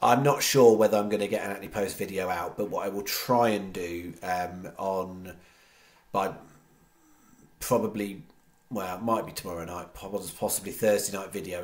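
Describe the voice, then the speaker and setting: A man with a head cold hosting a horse racing tips show, talking casually and rambling with many restarts. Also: sits low. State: congested with a cold. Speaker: horse racing tipster host. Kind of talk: casual chat to camera. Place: home studio.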